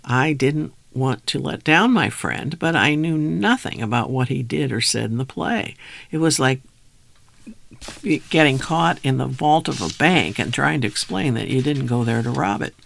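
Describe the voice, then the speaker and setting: Gravelly man reading a memoir aloud. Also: mid-range voice, expressive, moderate pace, fairly bright tone. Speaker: reader.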